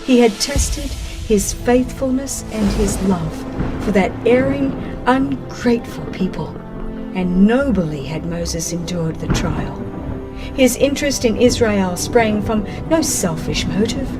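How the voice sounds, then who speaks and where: A woman reading scripted religious narration in a solemn, measured and expressive manner. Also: medium pitch. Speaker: audiobook narrator. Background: background music.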